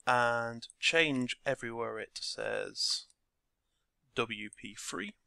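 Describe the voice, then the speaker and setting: A man with a croaky voice talking through a game scripting tutorial step by step from his desk. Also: mid-range voice, very slow, no room sound, clean studio sound. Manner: relaxed step-by-step explaining. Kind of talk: screen-recorded tutorial narration.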